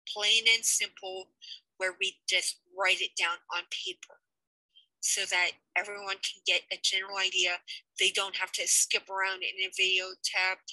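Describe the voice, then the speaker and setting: A woman's voice: mid-range voice, bright, forceful tone, no echo, rough recording. A young woman talking casually over a headset on a video call from a small room at home, rambling and hesitant with many fillers. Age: young adult. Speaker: let's play project organizer. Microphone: headset mic on video call.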